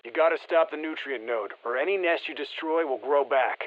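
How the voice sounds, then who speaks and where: Wry operator over dull radio. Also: male voice, on the high side, brisk. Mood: wry, focused. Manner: colloquial, wry, plain-spoken. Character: easygoing male special forces operator giving mission instructions. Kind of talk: video game mission radio callouts.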